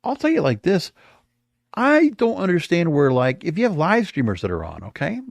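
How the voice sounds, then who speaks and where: A man's voice: fairly high pitch, wildly swooping pitch, normal speed, vocal fry, dry recording. An American man giving opinionated solo podcast commentary in a rambling, exasperated manner full of rhetorical questions. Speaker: podcast host.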